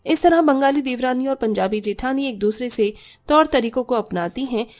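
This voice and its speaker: High-pitched woman narrating a cartoon story. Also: animated, normal speed.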